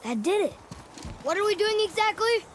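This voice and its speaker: A woman's voice: very high-pitched, big pitch swings, moderate pace, in a large hall, a little hiss or hum. A performer playing a curious, earnest young boy in a video game, talkative, inquisitive and eager. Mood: questioning.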